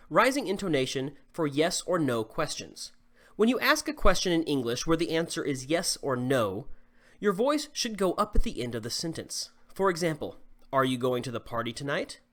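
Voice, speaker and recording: A man in his thirties from the southern US with a slight Southern accent, teaching English clearly and deliberately to camera from a small home studio. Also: on the high side, a bit bright. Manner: clear, deliberate teaching delivery with modeled examples. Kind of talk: scripted lesson to camera.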